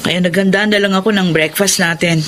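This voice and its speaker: A woman playing a caring, anxious wife and elder sister in a radio drama, with emotional, expressive delivery. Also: lowish voice, brisk, a little hiss or hum, close to an everyday voice. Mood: homely, uneasy. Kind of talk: Filipino radio drama acting. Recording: faint music under the voice.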